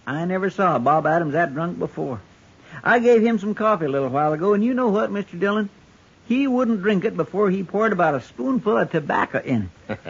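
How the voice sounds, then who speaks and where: An actor playing a folksy, deferential frontier deputy with country speech and a husky voice in a 1950s radio western. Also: male voice, high-pitched, very animated, a little fast, heavily acted. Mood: chatty, teasing. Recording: muffled old radio recording.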